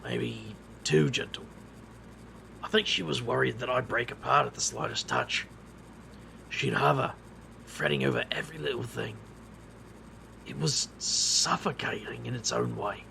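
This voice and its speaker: A weary, brooding man played by a voice actor speaks in a very gravelly, creaky voice. His delivery is wry and bitter, as in a noir-like audio drama, with faint noise behind him. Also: mid-range voice, very animated, unhurried, pauses often, bright, forceful tone, heavily acted, low-quality recording. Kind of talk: audio drama narration and dialogue.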